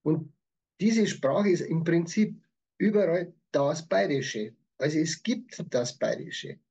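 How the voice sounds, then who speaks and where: Higher-pitched, husky Bavarian man. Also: animated, takes it slowly, darkish tone, highs cut off. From Bavaria, Germany. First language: Bavarian dialect. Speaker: author, interview guest. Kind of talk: prepared interview answers, explanatory.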